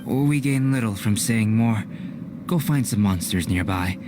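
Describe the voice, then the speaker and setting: An actor playing a cerebral, politely enigmatic young alchemist in a video game, speaking in a measured, formal way. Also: male voice, on the low side, over music, close to an everyday voice. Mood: measured, instructive. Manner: measured, formal, precise, unflappable. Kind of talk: video game cutscene acting. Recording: clear background noise.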